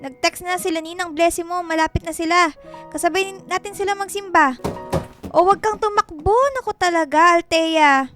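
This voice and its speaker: A woman's voice: extremely high pitch, moderate pace, music in the background. A woman playing a fussy, affectionate Filipina mother who nags and exclaims, in a radio drama. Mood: nagging.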